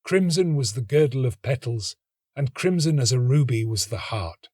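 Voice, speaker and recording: A man reading a literary story as an actor would, in a measured, dramatic and expressive narrating style. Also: medium pitch, no room sound, studio quality.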